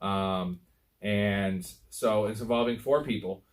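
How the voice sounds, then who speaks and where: A man's voice: lowish voice, fairly even intonation, slow. An American man co-hosting a sports podcast, talking and telling stories in a casual, rambling, profane way. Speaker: podcast co-host.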